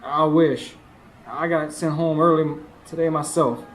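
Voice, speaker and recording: A man's voice: on the high side, fairly even intonation, a little slow, faint music behind, natural voice, poor audio. A man acting in a radio drama as a weary, haunted working-class man who narrates his troubles in folksy speech. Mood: troubled. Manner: folksy, colloquial. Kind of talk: radio drama first-person narration and dialogue. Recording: faint noise, live stage recording.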